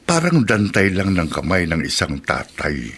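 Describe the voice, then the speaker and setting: Radio drama villain: sly middle-aged predator, falsely kind, deep, unhurried delivery, noisy recording. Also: male voice, wildly swooping pitch, full character voice. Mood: sly, coaxing. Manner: leering, chuckling, falsely kind. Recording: heavy noise behind the voice.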